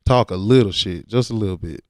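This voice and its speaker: A man's voice: lowish voice, fairly quick, a little mellow, no room sound, studio quality. A laid-back man, talking casually and with slang as a rapper in an interview.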